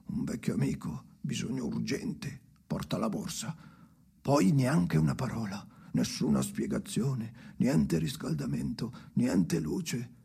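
An actor playing a tormented, bitter ageing man in a radio drama monologue, raspy and often sinking to a whisper, speaking in slow, halting fragments. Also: medium pitch, very animated, mellow, heavily acted. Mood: bleak, hushed. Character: tormented ageing man haunted by his dead father, brooding, bitter.

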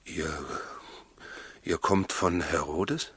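A man's voice: sits low, extremely slow, fairly bright tone, breathy edge, no echo, exaggerated voice. An actor playing a humble, guarded, plain-spoken husband and father in a 1960s radio drama. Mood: wary. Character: wary, humble husband guarding his newborn child.

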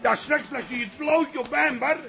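An actor from the Netherlands playing a blunt, sardonic barman in a radio play, brusque and quick to grumble, with a Dutch accent. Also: very high voice, vocal fry. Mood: irritated.

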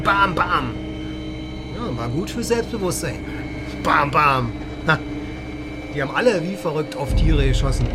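An actor playing a chatty, cynical East German army helicopter pilot in a radio drama, speaking in short, breezy phrases. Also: male voice, fairly high pitch. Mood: cynical, animated.

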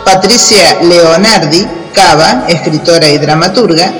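A man with an Argentine accent and a high voice reads an announcement for children. His delivery is deliberate, item by item, like a radio presenter. Music plays underneath, and a long reverb surrounds the voice. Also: a bit bright. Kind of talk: scripted announcement addressed to children.

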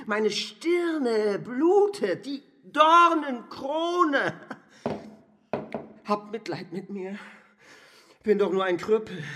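A man's voice: high-pitched, a bit bright, exaggerated voice. A male actor playing a bitter, despairing crippled husband in a radio play, theatrical and swinging between mockery and lament. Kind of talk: intense German radio play acting.

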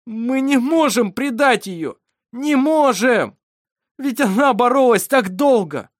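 A reader playing a high, anguished, pleading young man. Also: expressive, slow, no room sound, studio quality. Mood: tearful outrage. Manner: near-sobbing, loud, beseeching.

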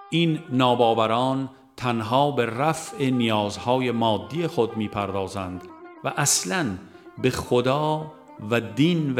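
A man narrating a religious audiobook in a formal, measured reading style. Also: medium pitch. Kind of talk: scripted narration of a religious book.

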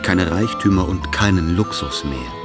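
A man narrating a literary radio play as a grave, intimate storyteller, deliberate and intense in his phrasing. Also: sits low, animated. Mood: fervent. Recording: prominent music under the narration.

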